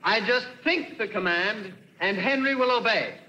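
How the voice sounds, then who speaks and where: An actor playing a polite, flustered inventor in an old radio drama, formal and a little hesitant. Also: male voice, high voice, a little slow, dull audio, background noise. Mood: formal, proud. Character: eager, flustered, polite male inventor showing off his robot. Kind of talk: 1940s radio acting.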